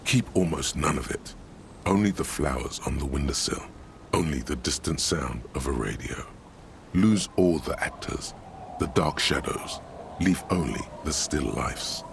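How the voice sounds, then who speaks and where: A man playing the brooding inner voice of a detective's mind in a game, speaking in slow, poetic lines. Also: a bass voice, expressive, a little hiss or hum, exaggerated voice. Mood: brooding, hushed. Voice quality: gravelly, breathy at times. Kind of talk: dramatic game narration.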